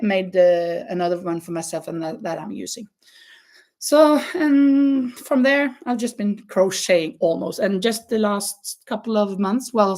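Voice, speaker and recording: A woman with a non-native English accent chats with her viewers in a relaxed, rambling way. She laughs a lot and often pauses mid-sentence to search for the right English word. Her pitch sits in an ordinary middle range for a woman. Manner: chatty, laughs often, hunts for words. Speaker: crochet live-stream host. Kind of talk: casual live-stream chat, answering viewer comments.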